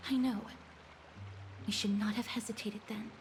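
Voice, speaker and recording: A woman playing a gentle, composed young maiden in a fantasy game, speaking in a polite, calm and careful way. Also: medium pitch, normal speed, over music, lightly acted. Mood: calm, sincere. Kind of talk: video game character dialogue.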